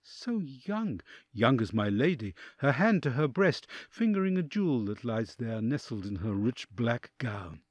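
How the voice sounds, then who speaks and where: A man's voice: mid-range voice, sing-song, measured pace, hardly any pauses, dry recording, muffled recording. A man playing an awed, anxious envoy who narrates a dramatic audio drama in the first person. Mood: nervous, hushed.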